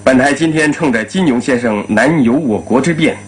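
A man, formally hosting a radio panel in a measured, courteous way. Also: mid-range voice. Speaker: radio host moderating a panel. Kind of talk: formal announcing, moderating a discussion. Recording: old radio recording, faint hum.

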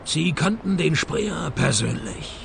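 An actor playing a wry, cynical private detective in a radio play, narrating and talking in a dry, sardonic manner. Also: male voice, a little high, big pitch swings, slow, gravelly, background noise, full character voice.

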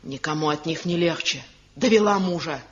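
A woman's voice: deep voice, moderate pace, a bit bright. On a dull vintage radio play recording, an older village matriarch speaks in bitter reproach. She nags and scolds her household with an aggrieved air. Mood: bitter, reproachful. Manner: reproachful, nagging. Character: bitter, scolding old village mother-in-law. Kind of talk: 1970 radio drama acting.

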